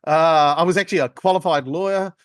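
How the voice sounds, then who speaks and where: A man's voice: a little high, fast talker, clean tone, no room sound, studio quality. A man in his forties from New Zealand with a New Zealand accent, a sales trainer telling stories in a brash, joking way as an interview guest. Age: 40s. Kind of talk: casual interview chat.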